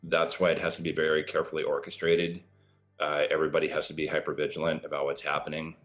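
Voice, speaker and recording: A middle-aged man, a former pilot, explaining airport safety in a measured way with pauses and fillers. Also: a bass voice, level tone, fairly bright tone, soft background music. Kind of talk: explanatory news interview commentary.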